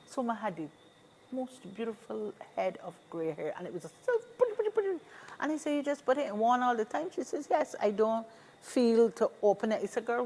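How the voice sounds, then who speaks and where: A woman's voice: medium pitch, darkish tone. A woman in her sixties with a Barbadian accent, giving encouraging advice in a conversational interview, emphatic and repeating key phrases. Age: 60s. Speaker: interview guest, seniors' advocate.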